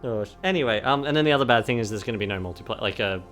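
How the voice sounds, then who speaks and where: A man's voice: mid-range voice, rapid-fire, fairly bright tone, faint music behind. Giggly young man losing his voice. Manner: giggly, rambling, many fillers and restarts. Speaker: podcast co-host. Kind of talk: casual chat.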